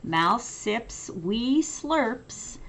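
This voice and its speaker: A woman reading a children's picture book aloud with drawn-out, emphatic, expressive storytelling. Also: fairly high pitch, slight background noise.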